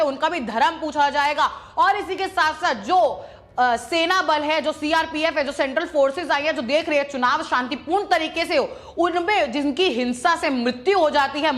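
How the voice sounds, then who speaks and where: A woman's voice: high-pitched, fairly bright tone. A woman presenting opinionated news commentary in an emphatic, accusatory, repetitive way.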